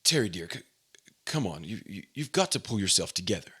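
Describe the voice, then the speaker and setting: A flustered radio-drama husband, played by a man, with a husky voice that swings wildly up and down. He stammers and is excitable, like someone who has been accused. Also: low-pitched, brisk, heavily acted. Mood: shaken, subdued. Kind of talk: old-time radio drama acting.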